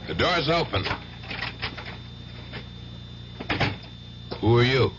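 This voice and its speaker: An actor playing a brusque, tough-talking but good-hearted middle-aged uncle who runs a gambling club, in radio drama style. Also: male voice, mid-range voice, expressive, brisk, loud music behind the voice, close to an everyday voice. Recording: muffled radio drama recording, loud hiss.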